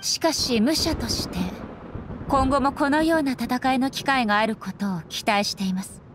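A woman playing a stern, solemn immortal warrior goddess in a game, speaking formally and with measured deliberation. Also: high-pitched, noisy background, close to an everyday voice. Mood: resolute. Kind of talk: dramatic game voice acting. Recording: background music under the voice.

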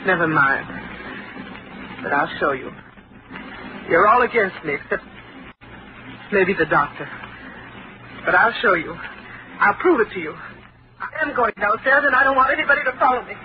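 An actress playing a distraught young American bride, pleading and tremulous, in a 1940s radio drama. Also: female voice, medium pitch, lively intonation, normal speed, long pauses, lightly acted. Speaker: passenger. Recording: muffled old radio broadcast with hiss.

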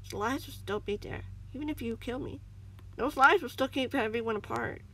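A woman's voice: a little high, moderate pace, sharp tone, slight background noise, pitched above the performer's own voice, rough recording. A woman voicing a frightened but defiant male cat in a story, nervous and pleading, with gasping delivery. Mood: insistent.

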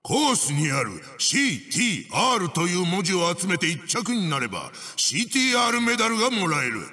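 An evil witch-doctor mask spirit from a video game, rings out in a big reverberant space over music. He is bossy and menacing, with archaic, pompous commands. The pitch sits high for a male voice and swings widely. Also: big pitch swings, fairly quick, sharp tone, heavily acted. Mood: commanding. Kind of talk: video game character voice-over, giving racing instructions.

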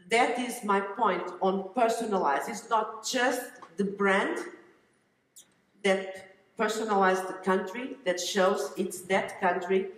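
Slow woman in an echoey hall. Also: medium pitch. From Angola. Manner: slow and deliberate, non-native phrasing. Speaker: relocation consultant on a conference panel. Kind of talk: conference panel talk. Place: large conference hall stage. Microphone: headset mic. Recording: roomy hall with long reverb.

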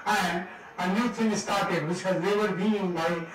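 Older Indian professor, jovial and echoey. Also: male voice, high-pitched, fairly even intonation, measured pace. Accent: Indian English. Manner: jovial, mixing Hindi into English, laughing at own jokes. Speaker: professor giving a guest lecture. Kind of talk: lecture with humorous asides. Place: large seminar hall. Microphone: room mic from afar. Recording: echoey hall, distant mic.

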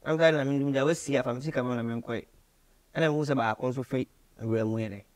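A young man with a Ghanaian accent speaks at a medium pitch in an interview. He tells his story conversationally, circling back over phrases as he goes. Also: rapid-fire. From Ghana, Kumasi. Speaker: musician guest. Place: small radio studio, indoors.

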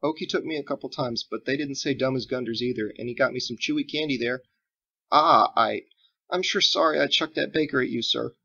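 A man's voice: medium pitch, a little fast, gravelly, pushed and bright, lo-fi sound, pitched above the performer's own voice. A man voicing a young farm boy in a storybook, earnest and wary, with a hesitant, naive, plain-spoken manner. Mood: apologetic.

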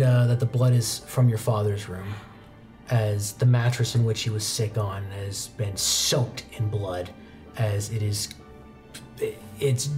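A young man narrating a horror tabletop roleplaying game as game master, with deliberate, suspenseful descriptions and dramatic pauses. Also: medium pitch, a little mellow. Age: young adult. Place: indoor studio game set at a desk. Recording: music playing behind the voice. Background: background music.

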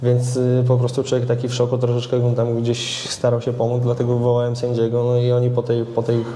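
Young guy, goalkeeper type, monotone answers, keeps restarting words, roomy echo. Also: mid-range voice, brisk, slight background noise. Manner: hesitant, repeats words while searching. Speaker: football goalkeeper. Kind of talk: casual interview answers. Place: club media room, indoors. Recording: echoey room.

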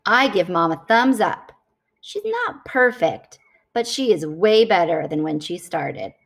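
A woman reading a picture book aloud to children in an expressive, deliberate storytelling style. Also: fairly low pitch, vocal fry.

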